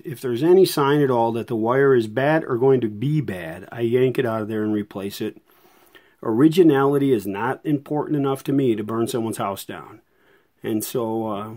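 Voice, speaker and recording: Mellow-voiced man, casual and rambling. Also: mid-range voice. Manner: folksy, rambling, thinking aloud. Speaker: host, radio restorer. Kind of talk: casual unscripted hands-on walkthrough.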